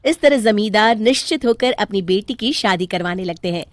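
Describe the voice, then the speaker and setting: A woman's voice: mid-range voice, lively intonation, moderate pace, no echo. A woman narrating a scripted children's story as the storyteller.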